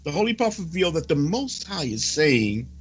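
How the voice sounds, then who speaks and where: A man reading prophecy as a sermon, solemn and declamatory, with dramatic pauses. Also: on the high side, big pitch swings, husky, dull audio. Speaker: preacher reading a prophecy report.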